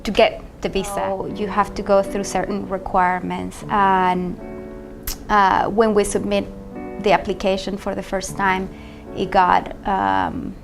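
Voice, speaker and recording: A woman from Caracas with a Venezuelan accent, speaking English in a measured, explanatory way with a quietly sorrowful tone. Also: mid-range voice, little pitch movement. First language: Spanish. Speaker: ballet mistress and company manager. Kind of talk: personal testimony interview. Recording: music under the voice.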